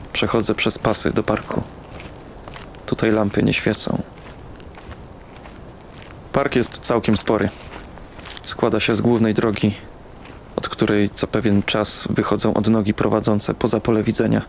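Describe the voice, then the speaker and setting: Man acting as troubled young man, low gravelly voice, subdued halting radio-drama narration, ambient noise behind. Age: young adult. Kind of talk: first-person radio drama narration in short present-tense sentences.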